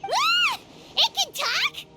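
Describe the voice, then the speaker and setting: Tiny squeaky fairy sidekick, super high, swoops everywhere, excitable and touchy, game voice. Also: female voice, drawn-out words, full character voice. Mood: startled, indignant. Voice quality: squeaky, childlike. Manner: excitable, speaks of self in third person. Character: tiny childlike floating fairy companion, excitable and easily offended. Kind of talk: anime-style game voice acting. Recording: loud game music under voice.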